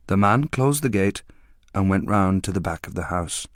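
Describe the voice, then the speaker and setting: Man, story narration, quiet and measured, low voice, dry close sound. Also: expressive, no room sound. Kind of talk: scripted audiobook narration.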